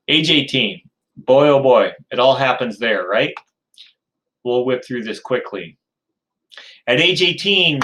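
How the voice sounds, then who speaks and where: A man in his fifties talks through a lecture in a relaxed, conversational way. His voice sits at an ordinary middle pitch. He peppers his points with ums and wry jokes about himself. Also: a little hiss or hum, poor audio. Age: 50s. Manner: chatty, self-deprecating asides, frequent ums. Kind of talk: informal recorded lecture.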